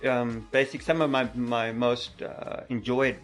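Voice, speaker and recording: A middle-aged man with a stutter who repeats words, talking softly and openly as a podcast guest. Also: medium pitch, flat delivery, measured pace, faint music behind. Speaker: podcast guest, mountain biker. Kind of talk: casual interview chat.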